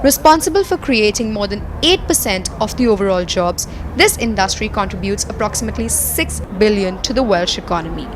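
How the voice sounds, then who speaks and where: A woman's voice: medium pitch, expressive, fairly quick, few pauses. Woman reporter over traffic noise. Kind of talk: scripted documentary narration. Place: outdoors at a quarry site.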